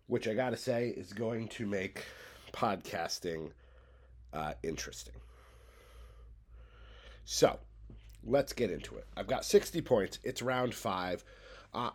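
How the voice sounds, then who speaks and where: A man in his thirties, congested and coughing, rambling loosely through a solo podcast from his bedroom. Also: fairly low pitch. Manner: loose, stream-of-consciousness rambling. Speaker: podcast host. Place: home bedroom.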